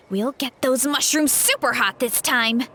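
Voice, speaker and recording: Young game heroine, bubbly yet shy and unsure of herself, bright high voice. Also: female voice, slow, exaggerated voice. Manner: bubbly, shy, self-doubting. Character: earnest young woman who idolizes a masked hero. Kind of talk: video game voice acting.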